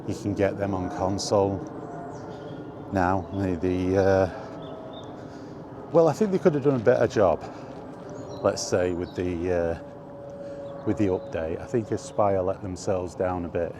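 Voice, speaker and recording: A man hosting a casual, rambling chat, thinking aloud with hesitant pauses. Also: sits low. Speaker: video host reminiscing about games. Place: on the move, walkie talkie vlog. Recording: faint ambient background noise.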